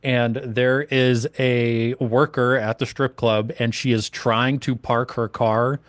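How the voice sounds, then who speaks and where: A man's voice: mid-range voice, flat delivery, slow, no echo. A man from chatting casually, telling stories and swearing now and then. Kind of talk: casual chat.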